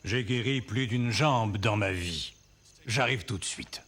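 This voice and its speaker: A man playing a calm, confident young adventurer in a French cartoon dub, speaking reassuringly. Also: lowish voice, measured pace, gravelly, noisy background. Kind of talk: French animated film dub. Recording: faint music under the voice.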